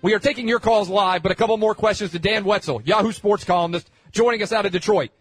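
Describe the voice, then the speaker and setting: A man acts out a pushy female legal-news host in a parody reading. The voice is high and husky, racing through clipped headlines and insistent questions, very dry and close. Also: quick pace, dry recording, soft background music. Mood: urgent, dramatic. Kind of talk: parody dramatic reading of cable news show.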